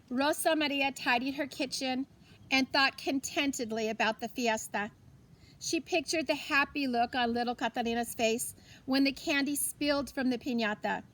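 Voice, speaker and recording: A woman narrating a children's storybook aloud in a deliberate, expressive way, with pauses for effect. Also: sits high. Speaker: teacher reading a story aloud.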